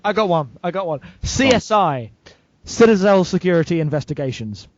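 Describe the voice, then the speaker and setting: A man chatting casually as a podcast panelist, emphatic and excitable. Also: high voice, moderate pace, no room sound, dull audio. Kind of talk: casual chat.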